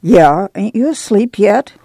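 A woman's voice: medium pitch, animated, unhurried, creaky, warm tone, husky, no room sound, exaggerated voice. A woman voicing a firm, protective, weary farm mother in a storybook, with terse folksy rural American talk. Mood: hushing, firm.

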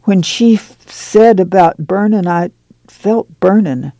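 A man's voice: high-pitched, takes it slowly, dark tone, no room sound. A man voicing a frightened, exhausted young woman who speaks in a whispery rural drawl.

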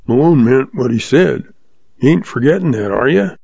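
A man's voice: mid-range voice, expressive, normal speed, vocal fry, a little mellow, husky, no room sound. A man voicing an old folksy Western man who speaks in a homespun drawl, in a dull lo-fi recording. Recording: dull lo-fi audio.

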